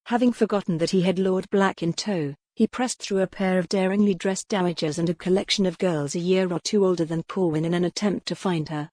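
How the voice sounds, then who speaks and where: A woman's voice: fairly low pitch, fairly even intonation, fairly quick, hardly any pauses, a little mellow, no echo. A woman reading scripted, dramatic narration for a historical romance audiobook.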